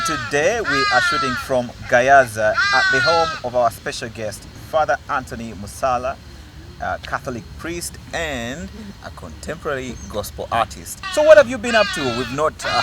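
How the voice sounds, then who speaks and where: A man's voice: medium pitch, wildly swooping pitch, moderate pace, over loud music. A male TV host interviewing a guest, with upbeat announcer-style lines and brief replies. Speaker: TV show host. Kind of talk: interview hosting. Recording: noticeable background traffic noise.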